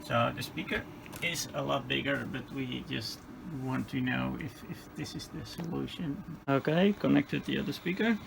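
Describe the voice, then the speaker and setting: A man giving a hands-on repair demonstration, muttering haltingly and tentatively through each step as he thinks aloud. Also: mid-range voice, creaky, background noise. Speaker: host of a radio repair video. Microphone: handheld camera.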